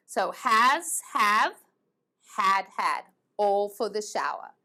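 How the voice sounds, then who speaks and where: A woman's voice: medium pitch, expressive, slow pace, sharp tone. A woman teaching an online English grammar lesson, patiently repeating verb forms. She grew up between the United States and England.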